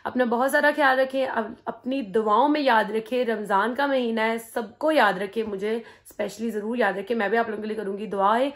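A woman in her thirties, hoarse from a sore throat, chatting slowly and tiredly to her phone camera at home as a family vlogger. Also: fairly high pitch, fairly bright tone. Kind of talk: casual vlog chat to camera. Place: indoors at home. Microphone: phone held at arm's length.

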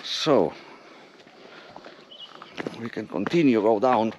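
A man's voice: lowish voice, expressive, a little mellow, slight background noise. A man giving casual running commentary as he climbs around an abandoned concrete building outdoors, filmed on an action camera. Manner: unhurried, plainly describing what he sees. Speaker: explorer filming an abandoned building. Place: outdoors on an unfinished concrete structure. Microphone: action camera held or worn.

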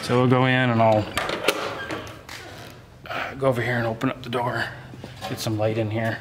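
A man's voice: mid-range voice, moderate pace, background noise. Plain-spoken man vlogging outdoors. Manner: relaxed, plain-spoken, thinks aloud with fillers. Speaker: homesteader giving a property tour. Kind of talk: casual walking tour vlog. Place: outdoors and in farm buildings. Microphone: handheld camera mic, filming himself.

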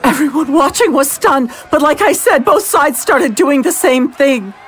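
A woman's voice: high-pitched, expressive, unhurried. A woman playing a veteran war correspondent who is losing her composure during a field report in an audio drama. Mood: mounting hysteria. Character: veteran female war correspondent unraveling into madness.

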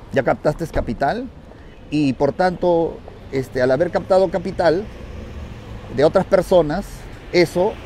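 Male vlogger-coach, Peruvian accent. Soft-toned, slightly high voice, emphatic repetition, handheld phone outdoors with light street noise. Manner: emphatic, repeats phrases for emphasis. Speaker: real estate investment coach. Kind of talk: vlog talk to viewers, instructive.

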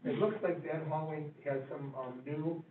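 A man's voice: on the high side, fairly even intonation, moderate pace, phone-quality sound. A board member, a man, asking polite and detailed follow-up questions at a meeting. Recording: echoey room, far mic, faint noise.

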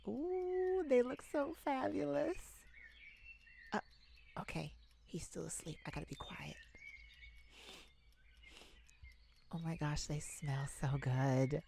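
A woman's voice: lowish voice, unhurried, mellow. A woman playing a silly, affectionate, giggly and bashful young boyfriend in an ASMR romance roleplay. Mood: hushed excitement. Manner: giggly, bashful, hesitant, gushing. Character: silly, playful, affectionate young boyfriend surprising his partner. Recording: clearly noisy, ambient sounds behind.

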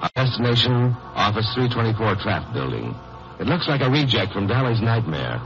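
Animated, sardonic American sleuth. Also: male voice, fairly low pitch, moderate pace, soft background music. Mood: sardonic narrating. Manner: sardonic, tongue-in-cheek. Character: wry, hard-boiled lawyer-sleuth narrating his case. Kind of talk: 1950s radio crime drama narration and dialogue. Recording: muffled, noisy 1950s radio recording.

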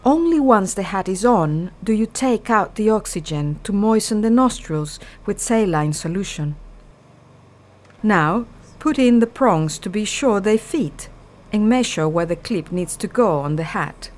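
A woman narrates an instructional film with a voice of medium pitch. She reads from a script in a measured way, giving clear directions one step at a time. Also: animated.

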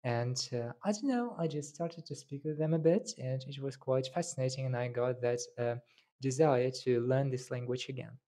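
A man's voice: medium pitch, normal speed, mellow. A young man whose first language is Tatar, talking casually to camera as a language teacher, thinking aloud with fillers, into a handheld clip mic indoors. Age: young adult. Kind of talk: casual video talk. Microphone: handheld lapel mic held near mouth.

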